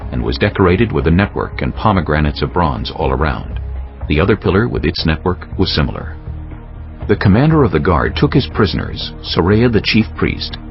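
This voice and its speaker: A very low-voiced man narrates scripture solemnly and deliberately, with lively, dramatic rises and falls. Clear music plays beneath him, and the recording is a little dull. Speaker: audiobook narrator. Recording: dull lo-fi audio, music bed. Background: prominent background music.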